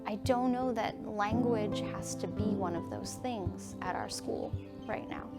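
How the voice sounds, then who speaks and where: Woman teacher talking thoughtfully in an interview, average pitch, music playing under her. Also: measured pace. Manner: earnest, reflective, conversational. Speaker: high school teacher. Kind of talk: documentary interview.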